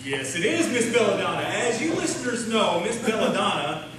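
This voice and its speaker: A man's voice: high-pitched, big pitch swings, moderate pace. A man playing a folksy, bumbling small-town radio announcer hosting a comic live show. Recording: echoey noisy hall, distant microphone.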